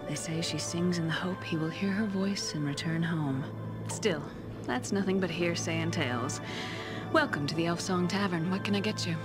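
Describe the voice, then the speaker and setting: A woman playing a friendly, concerned tavern keeper in a fantasy video game, confiding and unhurried. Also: fairly low pitch, over loud music, natural voice. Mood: wistful.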